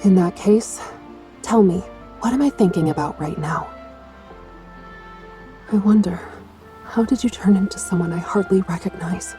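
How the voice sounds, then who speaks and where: Woman playing a soft-toned, defiant young woman. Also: mid-range voice, moderate pace, pauses often, soft background music, natural voice. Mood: guarded, resentful. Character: defiant, independent young woman resisting her protector. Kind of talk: dramatic video game cutscene acting.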